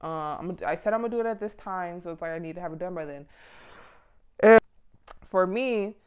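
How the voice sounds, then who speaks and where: A woman's voice: on the low side, fairly quick, smooth, highs cut off. A woman in her mid twenties chatting casually and motivationally to camera, with a blunt, informal, slangy manner. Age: mid 20s. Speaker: vlogger giving self-improvement advice.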